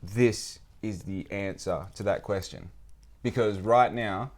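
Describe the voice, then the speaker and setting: A man hosting a casual podcast, talking in an animated way, swearing freely and repeating phrases for emphasis. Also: on the low side, slow. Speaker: podcast host.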